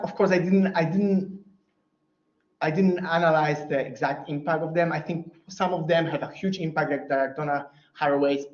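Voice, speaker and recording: A man whose first language is Polish answers audience questions after a keynote lecture, thinking aloud with many fillers and restarts. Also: on the high side. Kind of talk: academic Q&A answer. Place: lecture hall. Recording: roomy, dull lecture hall audio.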